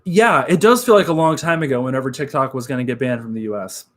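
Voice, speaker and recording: A young man with a nasal voice, chatting casually on a live stream, upbeat and relaxed, full of fillers. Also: medium pitch, quick pace. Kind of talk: casual live-stream chat reading comments.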